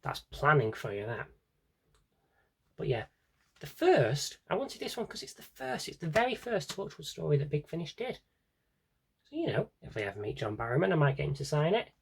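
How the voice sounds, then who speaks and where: A man's voice: on the high side, very animated, normal speed, gravelly, breathy edge. A British man chatting casually and unscripted as he shows off a collection, rambling, correcting himself and throwing in dry, offhand humour. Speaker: video blogger showing an audio drama CD collection.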